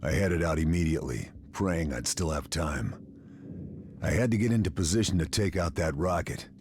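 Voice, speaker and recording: A man's voice: deep voice, moderate pace, a little mellow, slight background noise, lightly acted. An actor playing a weary, steely sniper in a World War II game, narrating his mission in a terse, grave, hard-boiled way. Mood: grim determination. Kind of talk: scripted cinematic game narration.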